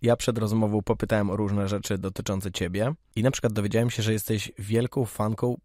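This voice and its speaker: A man's voice: lowish voice, little pitch movement, brisk, warm tone, no echo, clean studio sound. A young man hosting a casual interview, putting questions and tasks to a guest. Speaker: podcast host.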